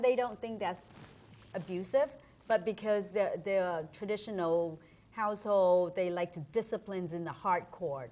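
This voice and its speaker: A woman's voice: medium pitch, darkish tone. A woman guest from Vietnam, sincerely sharing personal experience in an interview. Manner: sincere, personal, slightly halting. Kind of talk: interview answers.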